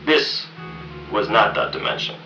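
A young man narrates solemnly in the style of an old television anthology, measured and full of pauses. His voice is distant and echoey, with music clearly underneath. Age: 20s or 30s. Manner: solemn, deliberate, pausing. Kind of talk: slow dramatic scripted narration in the manner of an old TV anthology. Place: indoors in a furnished room. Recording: muffled, roomy, distant, music behind.

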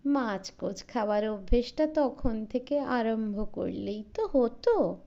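A woman voicing a talkative, sharp-tongued old great-aunt in a story, teasing and nosy with exclamatory, needling chatter. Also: on the high side, animated, slow pace, dull audio. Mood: sly teasing. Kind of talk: storybook character voice.

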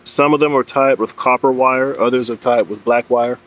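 A man's voice: medium pitch, moderate pace, poor audio. A man in his thirties giving an informal, plain-spoken and instructive product explanation to camera as a fishing vlogger. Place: outdoors under leafy trees. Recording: clear steady outdoor background noise.

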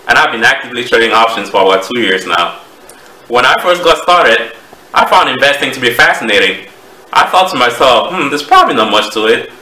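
A man in his thirties with a Cameroonian accent, giving a measured, explanatory presentation to camera as a trading educator. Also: medium pitch, bright, forceful tone. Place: small home office, indoors. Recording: roomy echo, distant microphone.

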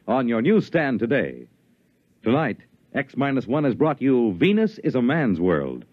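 A man's voice: medium pitch, no room sound. A man reading scripted credits and promotion as a 1950s radio announcer. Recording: muffled old radio recording, music behind.

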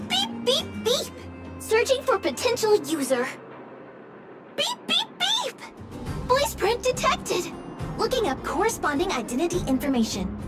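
A woman's voice: very high-pitched, huge pitch swings, unhurried, loud music behind the voice, exaggerated voice. A woman voicing a cute little robot in a video game, making chirpy, mechanical announcements. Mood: chirpy, officious.

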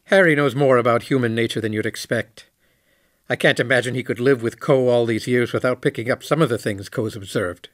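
A man playing a candid, reflective former station commander in a science fiction audio drama, speaking in a measured way. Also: mid-range voice, no room sound, studio quality, natural voice. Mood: matter-of-fact.

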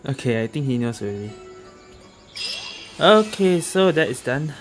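A man giving casual, low-key, hesitant let's-play commentary as a game streamer. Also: very animated, moderate pace, over music.

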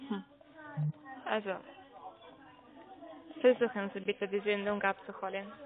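A woman on a call-centre phone call, speaking politely and haltingly with non-native phrasing. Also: medium pitch, level tone, crowd noise. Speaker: phone caller about a parcel. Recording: narrow, tinny phone line.

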